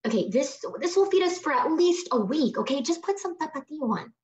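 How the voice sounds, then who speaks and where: The sound is a dull video call. an exasperated young artist daughter in a comedy script, pleading and defensive, in a fairly high voice. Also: female voice, lively intonation, brisk, darkish tone. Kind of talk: live table read of a TV comedy script.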